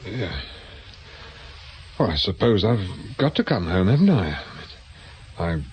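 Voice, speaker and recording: An actor playing a dry, wry British husband in a radio drama. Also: male voice, sits low, very animated, slow, slight background noise, heavily acted. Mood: wry, resigned.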